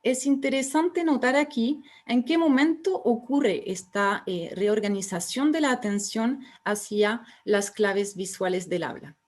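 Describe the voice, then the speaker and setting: A woman's voice: a little high, darkish tone. Woman giving an academic lecture. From France. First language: French. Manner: explanatory, pauses with fillers and restarts. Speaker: researcher giving a symposium talk.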